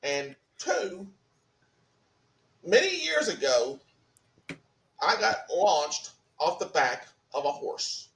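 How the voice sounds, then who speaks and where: A man from Mississippi, a podcast guest telling his stories in a measured, laid-back way. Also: fairly high pitch, huge pitch swings, a bit bright, reverberant, distant mic. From Mississippi, USA. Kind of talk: casual interview answers.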